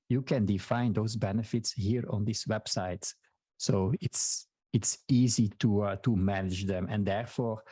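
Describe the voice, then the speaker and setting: A man from Belgium with a Flemish accent and Dutch as his first language, a podcast guest giving steady, explanatory answers with frequent restarts. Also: on the low side, husky, no room sound. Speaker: podcast guest, marketing strategist. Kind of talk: interview answers.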